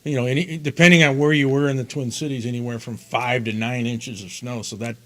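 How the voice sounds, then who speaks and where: A man, a radio play-by-play broadcaster talking sports as a guest, measured and analytical. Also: mid-range voice, fairly even intonation. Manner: measured, analytical, lists points. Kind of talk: casual sports talk.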